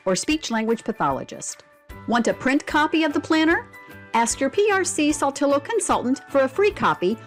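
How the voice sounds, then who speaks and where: A woman giving scripted, instructional picture-book narration in an expressive, child-directed storytelling manner. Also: on the high side. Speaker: read-aloud presenter for language teaching.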